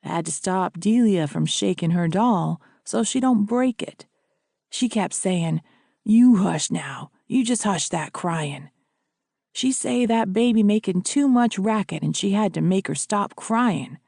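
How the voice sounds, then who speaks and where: A woman voicing a calm, plain-spoken housekeeper who speaks in dialect. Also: medium pitch, a little slow, gravelly, dry recording, clean studio sound. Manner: dialect grammar.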